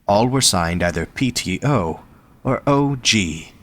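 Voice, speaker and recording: A man narrates a scripted story for an audio drama. His voice sits fairly low and moves widely in pitch as he reads. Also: expressive, slow, fairly bright tone.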